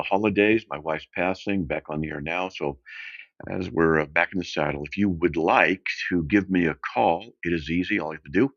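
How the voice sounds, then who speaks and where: A middle-aged man hosting a radio call-in show, talking off the cuff in a casual, halting, meandering way with a gravelly, very deep voice. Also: fairly bright tone, no echo. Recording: dull, muffled lo-fi radio audio.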